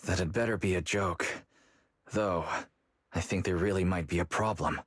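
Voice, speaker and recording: A calm young man from an anime-style game. His low, softly husky voice is measured, earnest and thoughtful, recorded close and dry. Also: dry recording. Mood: puzzled.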